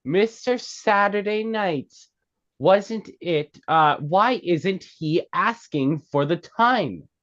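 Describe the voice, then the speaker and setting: A man's voice: high voice, expressive, takes it slowly, fairly bright tone, voice pushed up, heavily acted. Blunt, sarcastic club woman, read by a man. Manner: curt, mocking. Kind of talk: script table read. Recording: dull, lo-fi sound.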